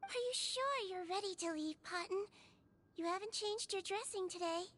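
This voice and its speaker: A woman voicing a small, clever, composed girl healer in a video game, speaking in a measured, gently firm, explanatory way. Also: extremely high pitch, a little hiss or hum. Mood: calm, clever. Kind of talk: scripted video game dialogue.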